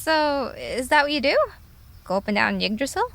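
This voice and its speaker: A woman's voice: high voice, expressive, moderate pace, vocal fry, fairly bright tone, exaggerated voice. A woman playing a small, earnest, stubborn fox in an audio drama, questioning everything with disbelief. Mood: puzzled, probing. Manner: questioning, incredulous. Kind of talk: audio drama character acting.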